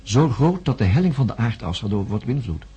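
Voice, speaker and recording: An actor playing a reflective man who studies the human mind, narrating a radio play in a solemn, contemplative way with dramatic pauses. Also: medium pitch, big pitch swings, mellow, husky. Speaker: narrator recounting events.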